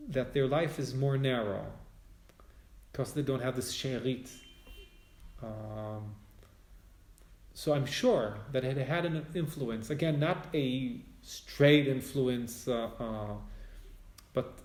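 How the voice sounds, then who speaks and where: A Hebrew-speaking man from Israel with an Israeli accent, a spiritual teacher and author, talking reflectively in English in a personal conversation, often pausing and restarting as he searches for words. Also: mid-range voice, darkish tone, echoey room.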